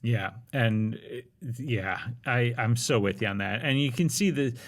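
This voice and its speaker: A man's voice: mid-range voice, unhurried. A man in his forties in casual podcast chat, candid and wry, often restarting his sentences. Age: 40s. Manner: candid, rambling with frequent restarts, wry humor.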